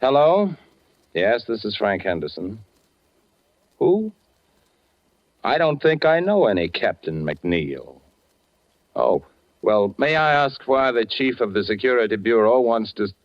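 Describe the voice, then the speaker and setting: An actor playing an affable, modest Hollywood director in a conversational 1940s radio drama style. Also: male voice, lowish voice, sing-song, measured pace, pauses often. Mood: brisk, businesslike. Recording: dull vintage 1940s radio recording.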